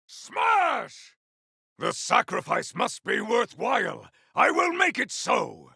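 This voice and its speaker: A man's voice: high voice, wildly swooping pitch, slow, vocal fry, sharp tone, breathy edge, no echo, raised voice, studio quality, full character voice. A man voicing a fierce, grandiose warrior in a video game, barking theatrical battle cries. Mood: fierce, boastful. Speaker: video game combat character.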